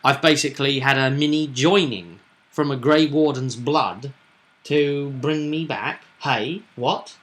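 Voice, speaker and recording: A man with a bright, forward voice talks casually as a game master. He retells a tabletop roleplaying session like a story, leaning hard on key phrases for dramatic effect. His pitch sits in the middle of the male range. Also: unhurried.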